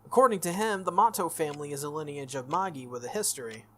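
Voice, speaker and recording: A man's voice: fairly high pitch, animated, fast talker, pushed and bright. A man reading the lines of an earnest, good-natured teenage schoolboy from a visual novel. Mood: earnest, explaining. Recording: music behind the voice.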